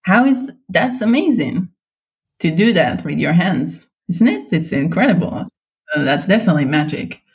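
A woman from Israel, a guest artist answering interview questions in a thoughtful, hesitant way, with many pauses and ums. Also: lowish voice, sing-song.

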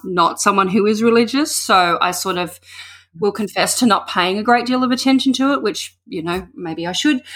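A woman's voice: medium pitch, a bit bright. A woman from Australia, hosting an interview podcast in a chatty, rambling way, often restarting her questions. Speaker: podcast host. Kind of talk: casual interview chat.

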